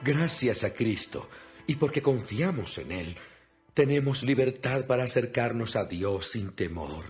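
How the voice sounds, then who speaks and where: A man's voice: medium pitch, animated, measured pace, close to an everyday voice. A man playing an imprisoned apostle in a dramatized scripture reading, speaking solemnly and earnestly with emphasis. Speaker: Bible narrator. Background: music.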